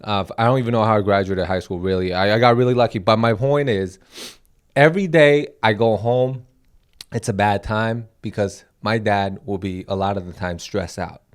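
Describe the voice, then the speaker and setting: A man's voice: lowish voice, normal speed, clean tone. A young man from Taiwan, co-hosting a casual comedy podcast, rambling through stories and acting out the quotes. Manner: rambling storyteller, acts out quotes. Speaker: podcast co-host.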